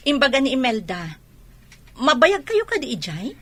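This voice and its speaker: Bright, high-voiced actress as a suspicious wife. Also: female voice, sing-song, normal speed, exaggerated voice. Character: nagging, suspicious soldier's wife, anxious and long-suffering. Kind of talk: Ilocano radio drama acting.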